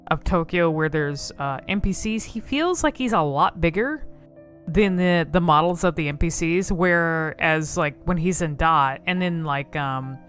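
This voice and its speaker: A woman's voice: low voice, a little slow, fairly bright tone, soft background music. A woman giving casual game commentary, rambling and thinking aloud as she explores cutscenes.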